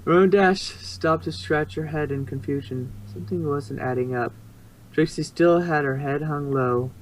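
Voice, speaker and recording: A man's voice: fairly high pitch, normal speed, a little hiss or hum, low-quality recording. A man narrating a story in an amateur reading, stumbling now and then and rereading phrases.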